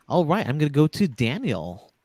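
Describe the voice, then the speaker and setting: Male moderator, very animated pitch, husky, unhurried casual delivery. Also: no room sound, studio quality. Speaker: host, moderator. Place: indoor room, video call.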